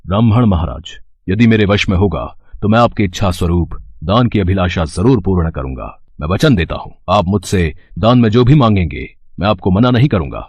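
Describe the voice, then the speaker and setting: Deep and dry-sounding, this is a solemn, dutiful young warrior prince acted by a man in a devotional storybook drama. He declaims formally and earnestly, with lively rises and falls. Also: brisk, hardly any pauses, slightly rough, no echo, muffled recording, exaggerated voice. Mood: respectful, firm. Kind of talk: scripted mythological storytelling drama.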